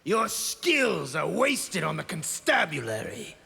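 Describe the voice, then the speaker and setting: An actor playing a brutal, proud outlaw warrior in a video game, with a gravelly, raspy voice and a menacing, taunting manner. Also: male voice, fairly high pitch, very animated, takes it slowly, sharp tone, exaggerated voice. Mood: menacing, defiant. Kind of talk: video game villain acting. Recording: reverberant game arena hall.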